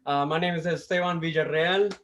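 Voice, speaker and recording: A man from Costa Rica with a Costa Rican Spanish accent, doing a stand-up comedy set over a video call, with a deliberate delivery that stops and restarts. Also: fairly high pitch, fairly even intonation, a bit bright. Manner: deliberate, with restarts and self-amused laughs. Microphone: video call mic.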